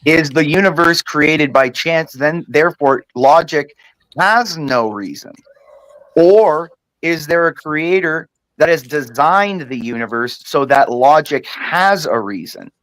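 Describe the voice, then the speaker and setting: A man's voice: a little high, expressive, slow pace, fairly bright tone, dry recording, low-quality recording. Condescending man, dry close sound. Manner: condescending, keeps repeating "ma'am" and "sir". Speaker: caller on a panel. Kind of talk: heated debate.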